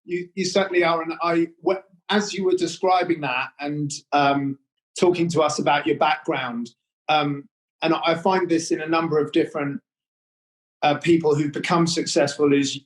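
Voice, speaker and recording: A man's voice: on the high side, steady tone, normal speed, poor audio. Rambling man hosting an interview. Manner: rambling, many fillers and restarts. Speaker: interview host. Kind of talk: hesitant conversational interview.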